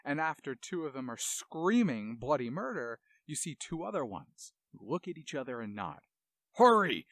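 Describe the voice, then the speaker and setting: A man's voice: a little high, sing-song, normal speed, a bit bright. A man hosting a game music show with enthusiastic, analytical commentary and dramatic storytelling.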